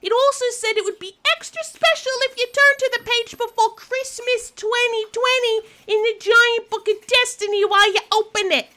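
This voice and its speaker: A woman's voice: very high voice, steady tone, normal speed, hardly any pauses, bright, forceful tone. A woman playing a timid, polite office receptionist in an audio drama, speaking hesitantly.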